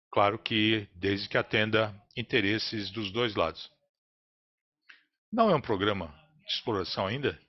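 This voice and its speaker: A man with a Brazilian accent interprets a business earnings call live, in a halting, phrase-by-phrase delivery. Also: mid-range voice. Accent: Brazilian Portuguese. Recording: dull, lo-fi conference call audio.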